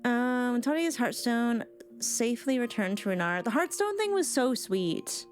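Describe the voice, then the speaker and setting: A woman's voice: on the high side, animated, a little slow. A woman streamer giving casual live reaction commentary on a game, chatty and musing aloud. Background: game music.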